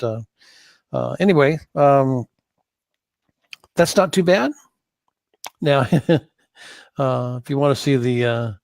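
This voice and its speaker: A man giving an informal screen-share tutorial as an instructor, thinking aloud in a halting way and correcting himself as he goes. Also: medium pitch, no room sound. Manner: halting, hesitant delivery with self-corrections. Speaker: course instructor in church sound.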